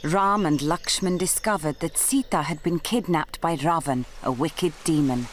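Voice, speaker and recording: A man narrating a puppet story in a calm, measured way. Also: fairly high pitch, a little hiss or hum.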